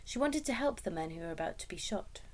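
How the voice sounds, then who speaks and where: A woman reading a children's story aloud as expressive scripted narration. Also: medium pitch, fast. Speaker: story reader.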